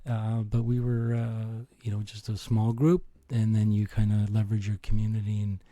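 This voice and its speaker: A man's voice: on the low side, little pitch movement, normal speed, mellow, no echo. A middle-aged or older man with a Canadian accent, telling personal stories as an interview guest in a modest, reflective way. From born in Italy, raised in Toronto. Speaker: interview guest, finance executive.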